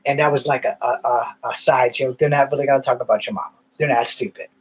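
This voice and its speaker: A man's voice: mid-range voice, fairly even intonation, fast, slightly rough, poor audio. A man rambling through candid stories in a casual monologue, swearing now and then. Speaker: vlogger.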